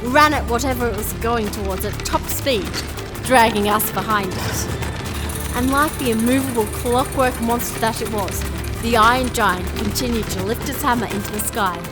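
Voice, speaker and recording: An actress plays a determined young royal in a fantasy audio drama. Her voice sits fairly high and she speaks emphatically and stubbornly, with clear music behind. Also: moderate pace, close to an everyday voice. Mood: dramatic narrating. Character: determined young princess ruler, stubborn and impatient. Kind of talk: full-cast audio drama acting and narration.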